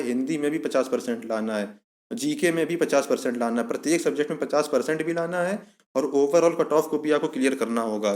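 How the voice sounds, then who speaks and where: A man with a slightly high voice. He explains official details step by step like an online instructor, restating key points so they stick. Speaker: exam information channel host. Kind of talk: explanatory walkthrough of a recruitment notice.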